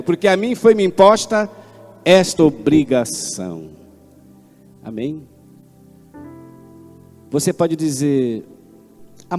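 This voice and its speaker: A man, preaching a sermon with fervent swings between loud declaiming and low asides. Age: 60s. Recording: long hall reverb, faint instrument music behind.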